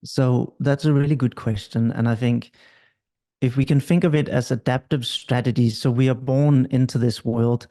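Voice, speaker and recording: A middle-aged man from Denmark, a couples therapist explaining at length in an interview, calm and measured. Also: mid-range voice.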